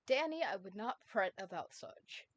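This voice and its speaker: A woman voicing a calm, ancient male ghost who rules over time, speaking in a gentle, measured, knowing way in a fan storybook reading. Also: medium pitch, highs cut off, close to an everyday voice.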